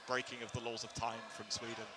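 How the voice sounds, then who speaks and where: A man doing live play-by-play commentary at an indoor court, talking into a headset in the commentary box, often correcting himself and rising with excitement at big plays. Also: medium pitch. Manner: rapid play-by-play with self-corrections and sudden excited rises. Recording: noisy hall with ball bounces.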